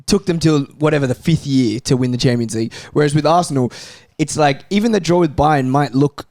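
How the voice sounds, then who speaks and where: A man's voice: fairly high pitch, fairly quick, darkish tone, dry recording, clean studio sound. A man arguing casually as a football panelist, insistent and repeating points for emphasis. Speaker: panelist on a football fan show. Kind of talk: casual chat, football debate.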